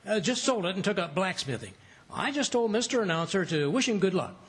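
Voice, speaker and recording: A man acts out a fibbing, folksy husband from a 1940s radio comedy. His voice is high and animated with a husky rasp, full of bluster and slangy, flustered excuses. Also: fairly quick, heavily acted.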